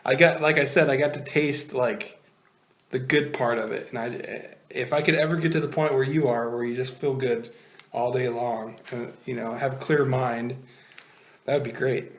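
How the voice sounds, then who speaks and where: A man co-hosting an interview, talking in a relaxed, hesitant way with lots of fillers. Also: medium pitch, normal speed, roomy sound. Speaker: co-host interviewer. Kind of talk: casual interview.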